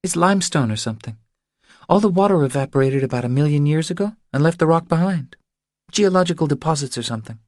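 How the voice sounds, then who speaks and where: A man's voice: a little high, lively intonation, fast talker, a little mellow, dry recording, studio quality, voice pushed up, natural voice. A man voicing an anxious, stubborn woman who confides her troubles in an earnest, pleading way, for an audiobook. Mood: explaining. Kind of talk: audiobook character dialogue.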